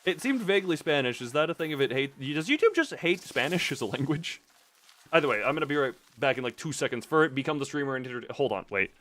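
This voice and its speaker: A man with a fairly high voice streams a video game and chats to his viewers. His pitch swings around in a lively way, and he talks in a rambling, expressive manner with the odd crude exclamation. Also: fast. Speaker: live streamer. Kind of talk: casual gaming livestream chat.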